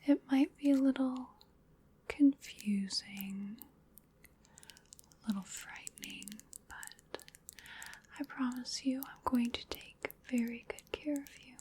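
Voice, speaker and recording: A woman playing an ancient vampire in an ASMR roleplay, whispering breathily in a slow, genteel, soothing manner with quiet menace. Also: high voice, slight background noise. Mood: coaxing, quietly menacing. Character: ancient female vampire, sinister yet coaxing and polite.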